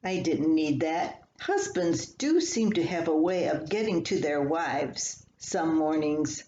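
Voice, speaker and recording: Slow-talking older woman in an echoey room. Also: deep voice, a little mellow. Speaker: columnist reading own work. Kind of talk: reading a humorous personal column aloud. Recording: echoey room, slightly dull, faint hiss.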